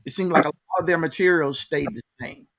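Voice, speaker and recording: A man's voice: on the high side, brisk, gravelly, a crowd in the background. A man working as an interviewer, asking casual questions with hesitant, repeated phrasing.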